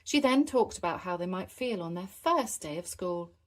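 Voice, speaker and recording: Very expressive woman reading a children's storybook. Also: on the low side, moderate pace. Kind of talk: animated children's storybook narration.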